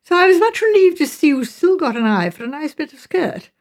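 A woman's voice: high-pitched, sing-song, fairly quick, pitched above the performer's own voice. A woman voicing a spirited, outspoken young English sister in an audiobook, chatty, emphatic and teasing. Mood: teasing, mischievous.

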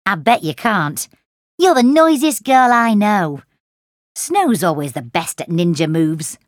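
A woman's voice: medium pitch, big pitch swings, slow pace, no room sound, studio quality. A woman voicing a bold, bossy young girl in a children's storybook, with an emphatic, confident delivery. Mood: teasing. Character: Goldie, bold, bossy young fairy-tale girl ninja.

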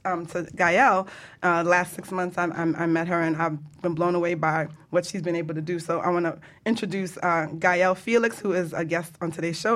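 A woman's voice: fairly low pitch, fairly bright tone. A woman hosting a radio show in a casual, chatty style. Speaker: radio show host and life coach.

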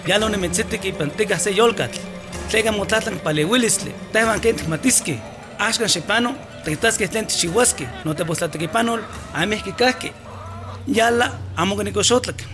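An actor in a radio drama playing a village farmer, speaking loudly and in a hurry. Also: male voice, high-pitched, normal speed, close to an everyday voice. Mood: urgent. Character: farmer Julián, a villager with a tractor. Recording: distant voice over loud music.

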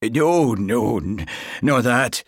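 A man voicing an affable, folksy police sergeant with a Scottish Highland accent, in an audiobook. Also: lively intonation, very slow, husky, no room sound, clean studio sound, heavily acted. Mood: flustered. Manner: informal, folksy. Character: lanky Highland police sergeant, affable, easily flustered, sly.

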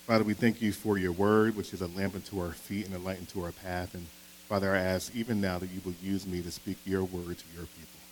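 A fairly low-voiced man preaches a sermon in an earnest, conversational manner. He often checks in with his listeners through quick tag questions. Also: flat delivery, darkish tone, slight background noise, poor audio. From Philadelphia. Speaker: pastor. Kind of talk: sermon with opening prayer.

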